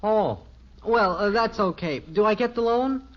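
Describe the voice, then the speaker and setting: Young man acting an earnest, high-voiced boy. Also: a little slow. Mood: puzzled, earnest. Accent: American. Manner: earnest, naive. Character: naive, eager young boy with business schemes. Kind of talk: 1950s radio sitcom acting. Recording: muffled, noisy 1950s radio recording.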